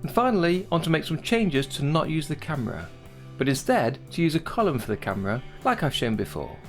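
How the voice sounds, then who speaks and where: A man's voice: on the high side, big pitch swings, brisk, faint music behind. A man giving a scripted tutorial voice-over as a hobby animator presenting his own project.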